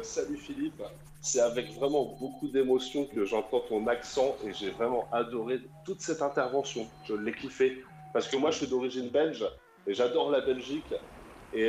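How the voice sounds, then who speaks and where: A man in his late thirties calls in to a radio talk show, his voice a middling pitch. He talks with warmth and enthusiasm, rambling through many fillers. The sound is roomy and echoing, with faint music underneath. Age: 30s. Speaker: caller. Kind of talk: casual radio call-in chat. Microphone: call over the internet.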